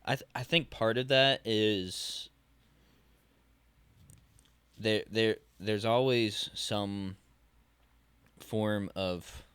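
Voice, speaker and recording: A man in his twenties co-hosting a podcast, talking in a casual, halting way, often restarting and searching for words. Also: on the low side, a bit bright, smooth, no echo. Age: 20s. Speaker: podcast co-host.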